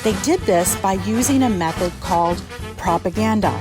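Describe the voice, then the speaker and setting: A woman's voice: medium pitch, normal speed. Woman narrating over music. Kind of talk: scripted educational narration. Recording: music behind the voice.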